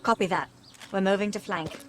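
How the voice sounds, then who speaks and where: A woman's voice: medium pitch, fairly quick, over music, natural voice. A woman playing a cool, capable intelligence agent in a military video game. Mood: cool, wry. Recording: noisy battlefield with gunfire and clicks.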